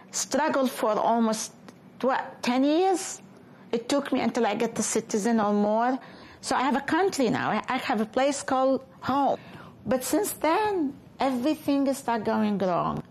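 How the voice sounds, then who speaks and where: A middle-aged woman from Palestine, raised in Iraq, who speaks in halting, repetitive English. She tells her own story in an interview. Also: a little high. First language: Arabic. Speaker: interviewee, mother. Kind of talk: emotional personal testimony. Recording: noisy background ambience.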